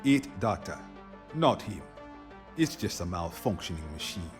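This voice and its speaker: A suspicious, guarded game operative. He speaks slowly and tersely, with marked rises and drops in pitch over music. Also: sing-song. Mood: dismissive. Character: wary, terse male field operative, dismissive and suspicious. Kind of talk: video game cutscene acting.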